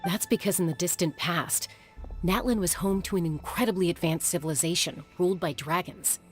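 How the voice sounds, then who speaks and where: A woman playing a cool, level-headed female adventurer in a video game, calm and understated. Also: fairly low pitch, a little fast, faint music behind, close to an everyday voice. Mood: explaining. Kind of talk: English video game voice acting.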